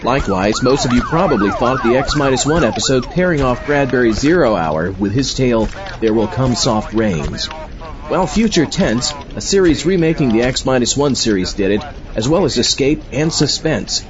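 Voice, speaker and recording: A man's voice: mid-range voice, wildly swooping pitch, normal speed, highs cut off. A man hosting a podcast, giving a scripted introduction to old radio shows. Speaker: podcast host.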